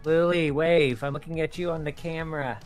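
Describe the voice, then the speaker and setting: A man's voice: fairly high pitch, fairly even intonation, a little fast, lightly acted. A man playing an easygoing, joking father in an improvised video game family roleplay. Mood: patient, helpful. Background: music.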